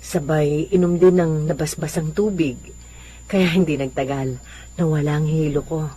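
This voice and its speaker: Gentle, devout elderly mother. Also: low voice, steady tone, normal speed, husky. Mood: gentle, reassuring. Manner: pious, reassuring. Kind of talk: Filipino religious radio drama acting.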